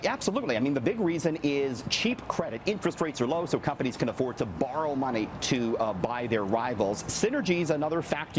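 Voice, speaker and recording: A middle-aged Canadian man giving a conversational business news report in an animated, chatty, explanatory way. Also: mid-range voice, background noise.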